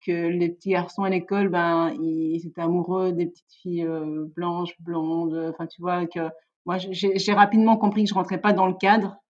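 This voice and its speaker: A woman, telling personal stories casually and candidly as an interview guest, often halting to rephrase. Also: fairly low pitch, level tone, darkish tone, muffled recording. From Belgium. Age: 30s. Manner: candid, informal, halting with self-corrections.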